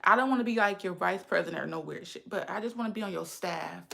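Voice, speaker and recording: A woman's voice: fairly low pitch, fast talker, gravelly, sharp tone. A woman in her thirties with a lisp, chatting casually with viewers on a livestream. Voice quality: says she has a lisp. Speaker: livestream host.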